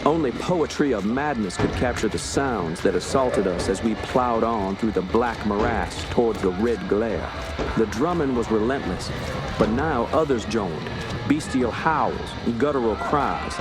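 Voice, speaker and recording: An actor playing a grim, commanding police officer in a radio horror drama, with a dramatic, authoritative delivery. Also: male voice, on the low side, lightly acted. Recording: loud dramatic music and effects behind.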